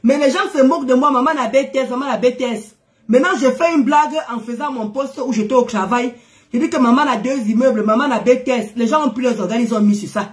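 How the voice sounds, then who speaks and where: High-voiced woman with a stuffy cold. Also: fast talker. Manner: chatty and teasing, exclaims and laughs often. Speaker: live-stream host. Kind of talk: casual live-stream chat greeting viewers.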